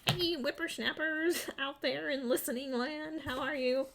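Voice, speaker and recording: A woman, chatting casually to her viewers while unboxing a restock. She giggles often, and her voice is gravelly from being sick with the flu. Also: high-pitched, fairly even intonation, a little slow, noisy background. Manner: bubbly, giggly, talks to viewers. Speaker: vlogger and English teacher. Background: crinkling packaging.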